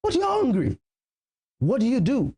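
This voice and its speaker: A man's voice: very high-pitched, huge pitch swings, moderate pace, warm tone, husky. A Nigerian man whose native language is Yoruba, a professional MC giving emphatic advice in a conversational TV interview and repeating key phrases. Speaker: professional MC and event host, interview guest.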